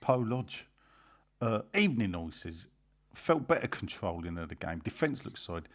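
A man's voice: on the low side, very animated, moderate pace. A man hosting casual football banter, teasing and blunt as he reads out listener messages. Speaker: host of a football fan podcast.

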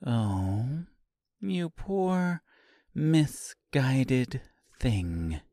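Man acting wicked witch girl, storybook villain, very slow, precise, calm menace, dry close sound. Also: medium pitch, no echo, exaggerated voice. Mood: mock sweet, gloating.

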